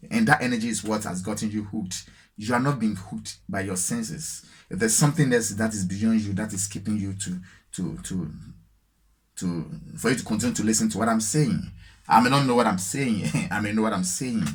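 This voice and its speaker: A man from Ghana with a Ghanaian accent, talking alone to his viewers in a halting, reflective way, full of fillers and repeated words. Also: lowish voice, breathy edge. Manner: slow, reflective, repeats phrases for emphasis. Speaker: solo vlogger. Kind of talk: unscripted spiritual musing. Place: alone, lighting fading.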